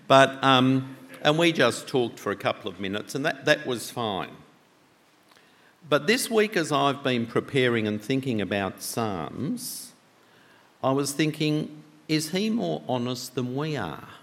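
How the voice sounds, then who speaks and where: A man preaching a sermon with a loud voice, talking conversationally and asking the congregation many questions. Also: medium pitch, big pitch swings, unhurried. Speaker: pastor. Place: church. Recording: long church reverb.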